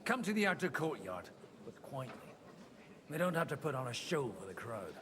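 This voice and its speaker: A man's voice: medium pitch, sing-song, moderate pace, noisy background, natural voice. An actor playing a blunt, disgraced brawling priest, a nobleman's elder son, in dramatic medieval game dialogue. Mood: defiant challenge. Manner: blunt, plain-spoken.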